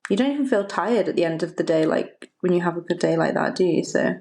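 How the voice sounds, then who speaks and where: Chatty woman with a softened Liverpool accent. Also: on the low side, animated, fast talker, creaky. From Liverpool, England. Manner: chatty, rambling, laughs often. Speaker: podcast guest, stylist. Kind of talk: casual chat.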